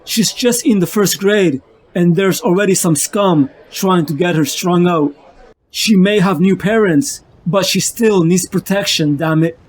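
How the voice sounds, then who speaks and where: A man's voice: sits high, a little slow. A man playing a tormented, ailing vigilante losing his sanity, narrating his story as a brooding, confessional monologue. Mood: brooding, troubled. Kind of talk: dramatic first-person comic narration.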